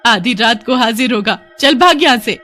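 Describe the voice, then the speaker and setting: A shrill village wife, voiced by a woman narrator, loud and quick to temper. Her high voice wails and scolds over faint music in a storybook story. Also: animated. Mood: furious scolding.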